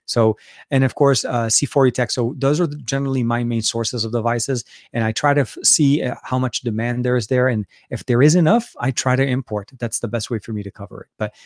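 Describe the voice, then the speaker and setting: A man with a Lebanese accent hosts a relaxed live Q&A, answering viewers' questions as they come in. He talks in a loose, rambling way and often restarts his sentences. His voice sits at an ordinary pitch and sounds dry and close to the mic. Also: fairly quick, dry recording. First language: Arabic. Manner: rambling, many fillers and restarts. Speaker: tech channel host answering live chat. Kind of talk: casual live Q&A.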